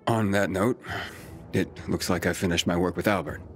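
An actor playing a guarded but kind male survivor in a video game, terse and understated, with a gravelly voice. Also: sits low, steady tone, moderate pace, soft background music, natural voice. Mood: awkward, reserved. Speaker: game protagonist. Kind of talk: video game cutscene dialogue.

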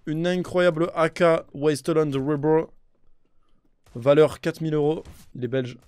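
Excitable young man streaming a shooter. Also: a little high, measured pace, smooth. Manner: excitable, swears a lot, talks to chat. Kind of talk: live gaming stream commentary. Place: indoor room with a gaming chair. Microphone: headset.

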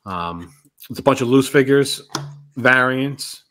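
A man's voice: medium pitch, animated, slow, a bit bright. Casual man with a mid-range voice. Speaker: panelist. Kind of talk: casual chat. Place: video call from a room at home.

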